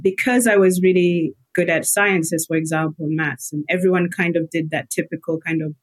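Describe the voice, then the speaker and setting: Lower-pitched woman reflecting in an interview. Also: steady tone, normal speed. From Malawi. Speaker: guest, health psychologist. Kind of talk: reflective personal interview answers.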